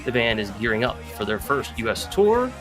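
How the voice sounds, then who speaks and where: A man's voice: mid-range voice, big pitch swings, brisk. A man, a podcast co-host, reading a scripted introduction. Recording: rock music behind the voice.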